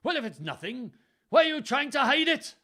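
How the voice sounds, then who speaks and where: A man's voice: very high voice, very animated, moderate pace, pushed and bright, pitched above the performer's own voice, exaggerated voice. A man voicing an agitated old earl in a storybook reading, with a wobbly Welsh accent. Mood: suspicious. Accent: wobbly put-on Welsh.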